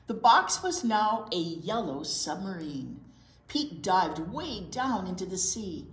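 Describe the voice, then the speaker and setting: Female children's storytime reader, slow and highly expressive, stretches key words, roomy echo. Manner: expressive, drawn-out key words, prompting questions to small children. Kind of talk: animated picture book read-aloud for young children. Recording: roomy, echoey indoor sound.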